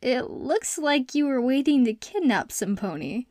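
A woman's voice: high voice, sing-song, a little slow, a little mellow, no room sound. A woman voicing an earnest, anxious young unicorn student in a cartoon audio drama. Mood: puzzled. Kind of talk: animated fan audio drama.

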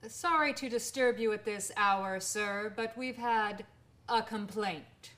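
A woman's voice: mid-range voice, slow, a bit bright. A woman in a radio drama playing a dutiful police inspector, asking questions in a clipped, formal manner. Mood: dry suspicion.

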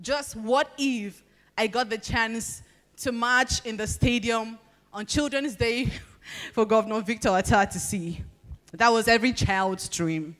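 A young Nigerian woman with a Nigerian accent performing spoken word poetry at a podium, with measured, expressive pacing and deliberate pauses. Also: on the high side. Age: young adult. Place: large banquet hall at a podium. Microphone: handheld microphone.

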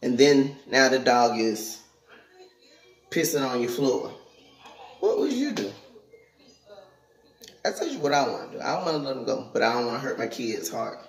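A woman's voice: rumbling low, sing-song, normal speed, pauses often. A middle-aged woman, chatting casually and plainly to viewers as a vlogger. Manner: plainspoken, conversational, addressing viewers directly. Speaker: vlogger and parent. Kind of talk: casual vlog chat. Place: indoors in a house, kitchen and hallway. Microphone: phone at arm's length. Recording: roomy, echoey home sound.

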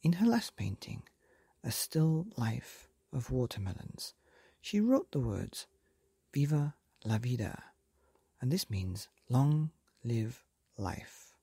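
A man reads a bedtime story slowly and gently, his voice a soft, breathy half-whisper with a gravelly edge. His pitch rises and falls in a lively, sing-song way. He is close to the mic in a dry, quiet room. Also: dry recording. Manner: slow, gentle and lilting. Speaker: storyteller and podcast host.

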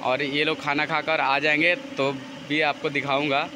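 A man's voice: fairly high pitch, flat delivery, moderate pace, noisy background. An Indian man giving casual, repetitive walk-through commentary as a vlogger, recorded on a handheld phone in a workshop. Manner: informal, repetitive narration. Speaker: vlogger showing around a factory. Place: large workshop and its yard.

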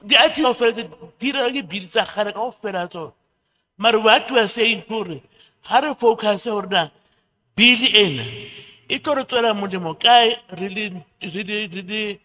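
A woman's voice: medium pitch, bright, forceful tone. A middle-aged woman on the committee addressing a public hearing into a handheld mic, switching between Sesotho and English and repeating herself. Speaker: committee member. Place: community hall. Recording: long hall reverb.

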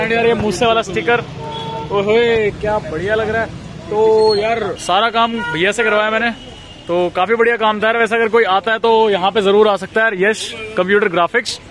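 A man's voice: sits high, fairly even intonation, a little fast. A young man, vlogging casually into a phone at arm's length, chatting with lots of fillers. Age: young adult. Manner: chatty, fillers like yaar and guys. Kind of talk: casual vlog chat. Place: busy outdoor market street. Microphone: phone held at arm's length. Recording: loud street crowd behind. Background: crowd and traffic noise.

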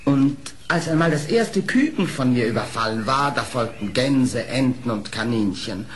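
An actor playing a sly, smooth-tongued fox in a radio play, speaking in a formal, theatrical, pleading manner. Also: male voice, medium pitch, expressive, normal speed, close to an everyday voice. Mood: remorseful. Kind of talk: classic German radio play acting. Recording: old radio play record, noisy.

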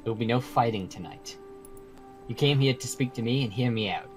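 Man acting an earnest, deliberate mortal peacemaker. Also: mid-range voice, natural voice. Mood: stern. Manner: measured, deliberate, emphatic phrasing. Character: earnest mortal man, stern peacemaker among supernatural creatures. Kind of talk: amateur game roleplay acting. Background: music.

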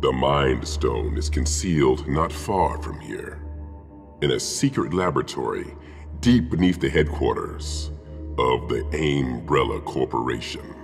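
A man playing a grave, commanding figure in a video game, solemn and measured. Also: extremely low pitch, lively intonation, gravelly, soft background music, heavily acted. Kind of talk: video game cutscene acting.

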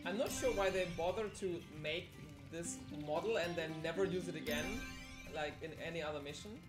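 Chatty German-native woman, lowish voice. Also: moderate pace. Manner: chatty, explanatory, many fillers. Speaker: speedrunner. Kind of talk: live speedrun commentary. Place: indoor room at a live event. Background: game music.

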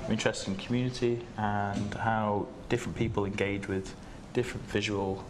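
A man's voice: lowish voice, level tone, moderate pace. Male lecturer in a noisy room. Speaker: senior lecturer on a discussion panel. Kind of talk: informal panel talk. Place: large gallery room with seated audience. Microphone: distant camera microphone. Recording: noisy room, distant microphone.

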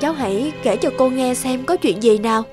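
A woman's voice: sits high, a little fast, lightly acted. A woman voicing a caring, worried female psychologist and mother in a cartoon. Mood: concerned. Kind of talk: cartoon dubbing. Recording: clear music behind the voice.

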